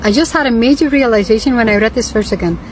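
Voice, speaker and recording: A woman's voice: fairly high pitch, quick pace, a little hiss or hum, low-quality recording. A woman hosting her own vlog, speaking in an earnest, emphatic and reflective way about her faith. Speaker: host. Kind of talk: reflective religious vlog talk.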